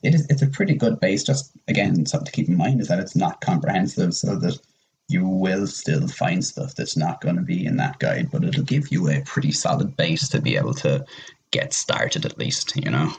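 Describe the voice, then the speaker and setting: A man's voice: deep voice, expressive, moderate pace, gravelly, a little mellow, a little hiss or hum, poor audio. A man explaining things in casual podcast chat, rambling a little and circling back over his points. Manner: rambling, repeats himself for emphasis. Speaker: podcast panelist.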